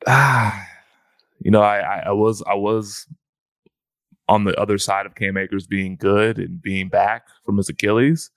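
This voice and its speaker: A man's voice: lowish voice, fairly even intonation, unhurried, dry recording, studio quality. A man co-hosting a fantasy football podcast in casual chat, often restarting his phrases.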